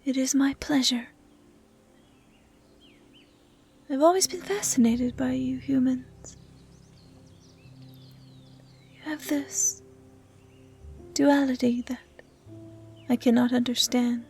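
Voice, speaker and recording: A woman voicing a gentle, curious young dryad who tends the forest, speaking in a soft, breathy near-whisper in an intimate ASMR roleplay style. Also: high voice, steady tone, measured pace, pauses often, faint music behind. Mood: soft, wondering. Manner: hushed, breathy, caring delivery, often near whispering.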